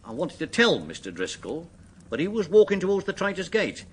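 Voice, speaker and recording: An actor playing a deferential, earnest attendant giving witness in a radio drama, respectful in manner. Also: male voice, high voice, huge pitch swings, a little fast, husky, full character voice. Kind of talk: British radio drama acting.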